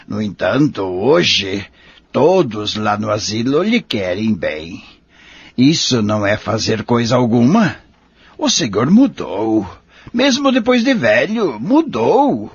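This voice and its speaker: An actor playing a kindly, patient old man in an audio drama, raspy and gravelly, gently persuading and moralizing. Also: medium pitch, sing-song, slow pace, dry recording, lo-fi sound, heavily acted. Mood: earnest. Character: kindly, patient old spiritist friend, an elderly husband.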